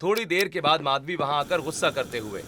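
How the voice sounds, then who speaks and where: A man's voice: on the high side, lively intonation, a little fast, noisy background. A man narrating a scripted cartoon family story.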